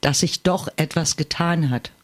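A man's voice: a little high, moderate pace. A middle-aged or older man talking casually and personally, asking questions and sharing experience. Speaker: conversation participant asking questions.

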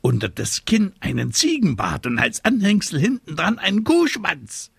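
A man's voice: on the low side, animated, a little slow, gravelly, breathy edge, dry recording, exaggerated voice. A man voicing a stubborn, grumbling little witch in a storybook, with emphatic, vengeful delivery. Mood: vengeful, indignant.

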